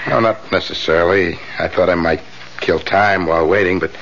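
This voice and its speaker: An actor playing a polite, probing, quietly menacing salesman lodger in a 1970s radio drama. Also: low voice, steady tone, brisk. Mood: calmly sinister. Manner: polite, smooth-talking, probing. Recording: dull, noisy 1970s radio recording.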